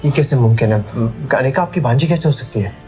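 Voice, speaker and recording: A man's voice: mid-range voice, animated, fast talker, dark tone, faint music behind, close to an everyday voice. An actor playing an earnest, respectful man in an audio drama. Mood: disbelieving. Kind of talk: Hindi audio drama acting.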